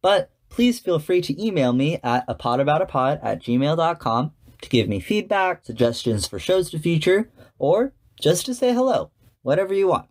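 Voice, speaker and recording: A man hosting a podcast, reading scripted commentary in a measured, articulate presenter's manner. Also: on the high side, big pitch swings. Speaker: podcast host.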